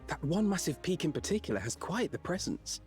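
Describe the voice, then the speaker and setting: A man's voice: fairly high pitch, very animated, brisk, soft background music. A male actor playing a curious, well-traveled young adventurer in a fantasy video game.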